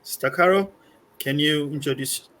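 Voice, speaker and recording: A man's voice: fairly high pitch, moderate pace, a bit bright, a little hiss or hum. A man hosting an online panel discussion, introducing guests in a loose, upbeat way with many fillers. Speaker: panel moderator.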